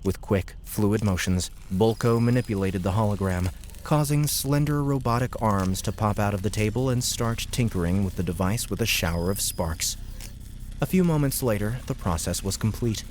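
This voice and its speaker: Low-voiced male audiobook narrator. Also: moderate pace, darkish tone, slight background noise. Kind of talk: scripted fiction narration.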